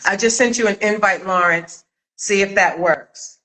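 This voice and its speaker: Bright-voiced woman hosting a live chat. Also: mid-range voice, normal speed, rough recording. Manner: effusive, gushing. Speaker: host of a live chat interview, audio drama maker. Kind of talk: casual live-stream chat. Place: live video call on social media.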